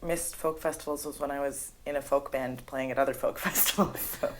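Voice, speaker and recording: A young woman from Canada, a singer-songwriter, chatting in an interview and telling stories in a lively, laughing way. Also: very deep voice, a little fast, poor audio. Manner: chatty, animated storytelling with laughs. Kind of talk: casual interview.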